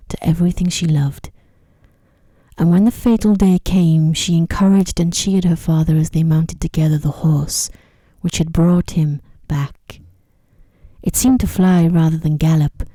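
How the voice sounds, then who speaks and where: A woman reading a sleep story in a soft-spoken ASMR style, with a gentle, hushed, unhurried delivery. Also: low-pitched, no echo.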